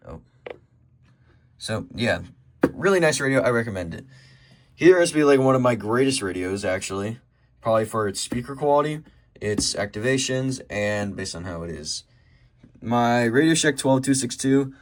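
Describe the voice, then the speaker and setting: A young man with an American accent giving a casual show-and-tell, halting and rambling as he corrects himself. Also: medium pitch, animated. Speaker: hobbyist showing a radio collection. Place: indoors at home by a desk.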